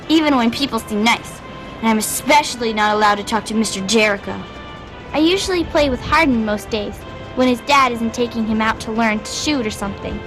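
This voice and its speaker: Game child played by a woman: high, guileless, chatty small kid, with clear music behind. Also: natural voice, low-quality recording. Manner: chatty, innocent. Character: friendly, guileless small child living in a wasteland town. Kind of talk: video game character acting.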